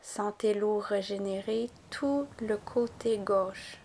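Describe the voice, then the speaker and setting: A woman leads a guided relaxation as a yoga nidra teacher. She speaks very slowly in a mid-pitched voice, with gentle, calm phrasing that leaves room between instructions. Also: slight background noise. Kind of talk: guided relaxation meditation narration.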